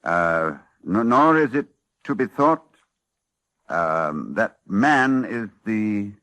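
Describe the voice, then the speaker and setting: An actor playing a learned doctor recounting horrors in a halting, dramatic way, in the style of 1940s radio drama. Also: male voice, lowish voice, fairly bright tone, no echo, exaggerated voice. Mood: grave, halting. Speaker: actor and narrator. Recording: restored 1940s radio recording with music.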